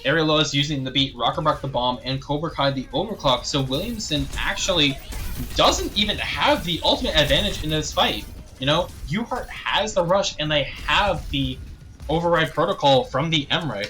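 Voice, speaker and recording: A young man casting a live esports match play-by-play, slightly hoarse and thirsty, clearing his throat now and then. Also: on the high side, faint music behind. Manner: rapid play-by-play, rising excitement on big plays, frequent throat clearing. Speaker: esports caster.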